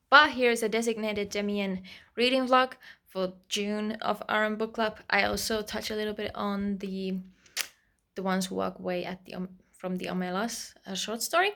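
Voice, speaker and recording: Woman with a creaky voice. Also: fairly high pitch. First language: Finnish. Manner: chatty and reflective, drops to soft near-whispers. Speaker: book vlogger. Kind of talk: casual reading vlog.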